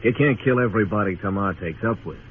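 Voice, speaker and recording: An actor playing a tough, laconic frontier marshal in a radio western, speaking and narrating in a terse, deadpan way. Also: male voice, fairly low pitch, fast talker, a little hiss or hum, close to an everyday voice. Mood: firm, unafraid. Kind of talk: old-time radio western acting and narration. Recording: tinny old radio recording with music.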